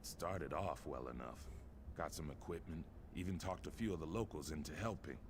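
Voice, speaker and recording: Game role: gloomy reptilian drifter, extremely low voice, world-weary and rueful, slight background noise. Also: male voice, moderate pace. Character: gloomy, hard-luck lizard-man drifter. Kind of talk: video game character acting.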